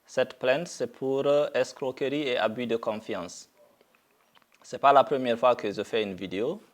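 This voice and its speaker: A middle-aged man from Guinea making a deliberate public statement, speaking haltingly with frequent pauses and fillers. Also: mid-range voice. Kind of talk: slow, deliberate video statement.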